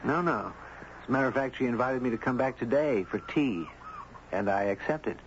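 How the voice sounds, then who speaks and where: A man's voice: mid-range voice, lively intonation, moderate pace, natural voice. An actor playing a friendly, curious and courteous man who also narrates in a 1970s radio drama. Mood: reassuring. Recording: dull noisy 1970s radio broadcast.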